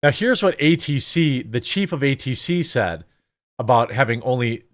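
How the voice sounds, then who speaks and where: A man with a mid-pitched voice gives off-the-cuff commentary on the news. He is blunt and opinionated, leans on rhetorical questions and mixes read-out copy with his own reactions. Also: animated, normal speed. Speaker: host of a news commentary show. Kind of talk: off-the-cuff opinion commentary on news, partly reading articles aloud.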